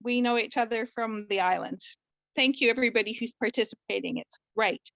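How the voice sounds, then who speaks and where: A woman's voice: on the high side, brisk, gravelly, a bit bright, no room sound, highs cut off. A Canadian woman in her fifties, a family doctor, chatting in a friendly, rambling way on a video call. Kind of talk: participant comment in online session.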